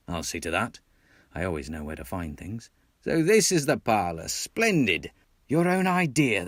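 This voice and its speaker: A man voicing a cheery, brisk male rat in a storybook, hearty and encouraging, with a put-on British accent. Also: medium pitch, dry recording, clean studio sound. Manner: hearty, exclaiming, bossily encouraging.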